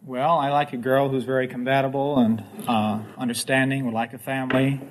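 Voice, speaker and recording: A man's voice: medium pitch, flat delivery, fairly quick, poor audio. A man in his twenties, a quiz contestant giving polite, earnest answers. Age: 20s. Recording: faint hiss of 1950s radio.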